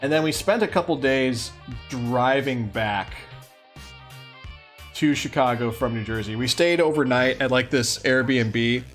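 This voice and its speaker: A man's voice: medium pitch, a little slow, faint music behind. A man hosting a livestream, chatting casually and affectionately with his viewers. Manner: affectionate, cooing to a pet. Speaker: livestream host.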